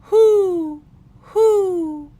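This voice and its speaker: Woman doing a high, loud hooting owl call. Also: drawn-out words, no room sound, exaggerated voice. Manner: long, loud, spaced hooting. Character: owl call, hooted by a father and an owl.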